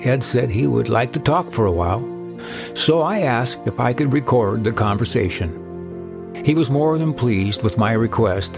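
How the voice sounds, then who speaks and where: A man hosting a podcast, giving a measured, solemn scripted narration. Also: mid-range voice. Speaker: podcast host. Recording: narration over music.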